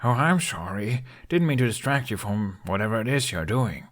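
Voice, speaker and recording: A man's voice: on the low side, big pitch swings, moderate pace. A man doing a sarcastic weary young rider. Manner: dry, sarcastic.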